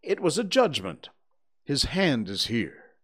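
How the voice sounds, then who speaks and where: A man voicing solemn, moralizing townsfolk in an audiobook, speaking gravely and with emphasis. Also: fairly high pitch, wildly swooping pitch, slow pace, fairly bright tone, voice pushed up, exaggerated voice. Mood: solemn judgment. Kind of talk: audiobook character acting.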